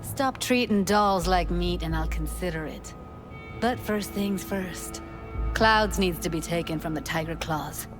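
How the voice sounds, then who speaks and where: A woman's voice: lowish voice, measured pace, natural voice. A woman playing a passionate, bruised young rebel in a cyberpunk game, blunt and informal with clipped phrasing. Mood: angry, urgent. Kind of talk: video game voice acting. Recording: loud music behind the voice.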